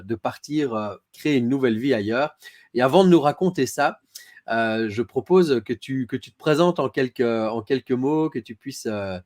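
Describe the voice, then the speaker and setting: A man's voice: mid-range voice, darkish tone. A man hosting a casual live-streamed interview from his small office, welcoming viewers in a friendly, enthusiastic way. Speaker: host.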